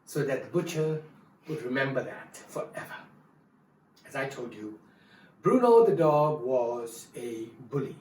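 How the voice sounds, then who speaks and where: A man telling a story to an audience in a playful, lively manner, leading them in movement games before the tale. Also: mid-range voice, measured pace, slight background noise. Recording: echoey room, distant mic, guitar music.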